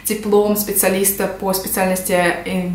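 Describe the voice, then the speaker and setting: A woman's voice: mid-range voice, level tone, moderate pace, a little hiss or hum. A woman in her twenties from Russia, talking casually to the camera as she explains things in a vlog. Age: 20s. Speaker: vlogger. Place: small indoor room at home. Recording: echoey home room.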